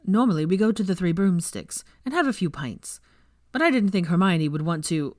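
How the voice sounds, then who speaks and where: A woman's voice: on the low side, expressive, moderate pace, darkish tone, no room sound, clean studio sound, lightly acted. A woman voicing an amiable, sheepish young man in a story reading, earnest and easygoing.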